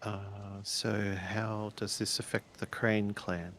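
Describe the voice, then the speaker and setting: A man's voice: lowish voice, flat delivery, takes it slowly, a bit bright, a little hiss or hum. A man playing a tabletop roleplaying game, improvising dialogue in a hesitant, questioning way as he thinks aloud.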